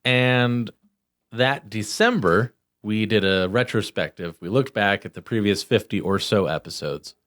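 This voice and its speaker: A man's voice: on the low side, measured pace, fairly bright tone. A man hosting a comedy podcast in wry, playful casual chat. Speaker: podcast host.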